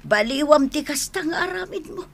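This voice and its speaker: A woman's voice: sits high, expressive, normal speed, very noisy. A woman acting a worried mother in an Ilocano radio drama, plaintive and admonishing, with an Ilocano accent. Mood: plaintive reproach. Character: worried, lamenting mother pleading with her wayward grown son.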